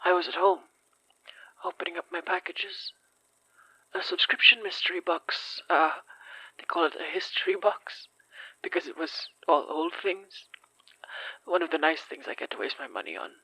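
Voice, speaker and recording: Horror audio drama: a reluctant, apologetic survivor of a fire, rasping yet bright, halting delivery. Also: female voice, lowish voice, animated, no room sound, heavily acted, poor audio. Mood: uneasy recollection. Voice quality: hoarse, breathy. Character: shaken, hesitant fire survivor recounting something strange.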